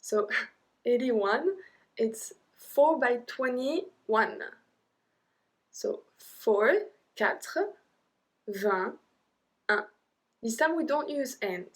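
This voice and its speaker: French-accented lady teaching a lesson, slow and careful, chatty bits in between. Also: a little high, lively intonation. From French-speaking Europe. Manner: slow, deliberate drilling, chatty asides. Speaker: language teacher. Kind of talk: online language lesson.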